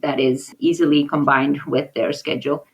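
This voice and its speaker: Woman, low-pitched, raised in Papua New Guinea, podcast guest, hesitant, reflective. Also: normal speed. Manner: thoughtful, with frequent hesitations and restarts; lively when acting out conversations. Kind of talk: casual interview talk.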